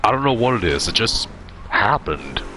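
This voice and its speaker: A man in his twenties with a deep voice, chatting casually while streaming gameplay and answering his viewers. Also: normal speed, a little hiss or hum. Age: 20s. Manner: relaxed, chatty, answering chat. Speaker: gaming streamer.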